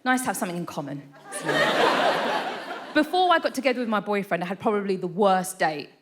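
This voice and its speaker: Lively chatty woman doing stand-up. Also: medium pitch, normal speed, heavy background noise. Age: 30s. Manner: chatty, self-deprecating, frequent "right?" asides. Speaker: stand-up comedian. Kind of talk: stand-up set. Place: live theatre stage with audience.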